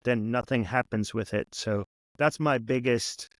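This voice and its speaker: An American man giving measured, explanatory answers as a guest in a conversational interview. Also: mid-range voice, dry recording. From United States. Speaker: podcast guest, teacher and content creator.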